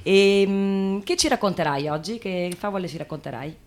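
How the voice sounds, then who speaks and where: A woman's voice: medium pitch, moderate pace, darkish tone. A woman hosting, casually introducing and chatting with a guest. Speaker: host.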